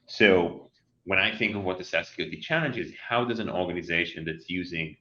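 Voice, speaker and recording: A man's voice: sits low, expressive, fairly quick, sharp tone. A man with an Israeli accent, from Israel, explaining things at length as an interview guest and often restarting his sentences. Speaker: guest, company CEO. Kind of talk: interview answers. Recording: dull lo-fi sound.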